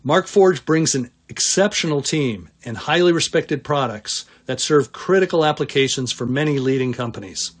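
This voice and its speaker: A mature man speaks as a company chief executive at a medium pitch. He reads prepared financial remarks in a measured, formal and businesslike manner. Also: animated. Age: middle-aged or older. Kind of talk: prepared earnings call remarks.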